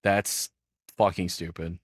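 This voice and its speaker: A man's voice: deep voice, flat delivery, slow pace, husky, no room sound. A man giving casual live reaction commentary as a streamer, rambling and swearing often, close to the microphone.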